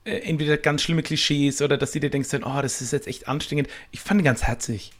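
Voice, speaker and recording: Man, fairly high voice, casual podcast guest, thoughtful explainer, winding sentences. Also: fairly even intonation, fast. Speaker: podcast guest, improv stage performer.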